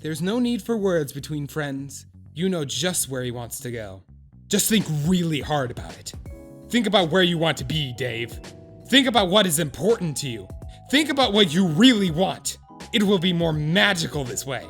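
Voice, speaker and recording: A man performing an earnest, excitable storyteller in a comic audio drama. His fairly high voice swings widely as he narrates with theatrical, mock-solemn gravity. Soft music plays behind him. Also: moderate pace. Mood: coaxing. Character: earnest, excitable male narrator of an awkward would-be friend. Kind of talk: dramatic second-person scripted narration with comic profanity. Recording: music under the voice. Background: background music.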